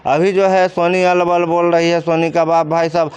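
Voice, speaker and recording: Bihari guy, slightly high clean voice, explaining stuff in an interview, asks questions then answers them himself. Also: flat delivery, moderate pace, clear, resonant tone. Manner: colloquial, explanatory, poses and answers own questions. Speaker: commentator interviewed about crime cases. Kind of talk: informal spoken commentary in an interview.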